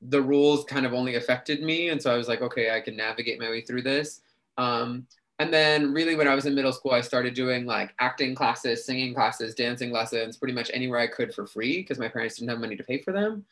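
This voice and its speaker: A man's voice: medium pitch, fairly quick, fairly bright tone, rough recording. A young adult man from New City, a guest chatting casually and talkatively in an interview, full of "like" and "um". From New York City. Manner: talkative, chatty with frequent fillers.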